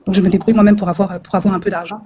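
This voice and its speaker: A woman's voice: mid-range voice, flat delivery, rapid-fire, a loud crowd. A woman caller from France telling her troubles, hesitant and distressed and often repeating herself.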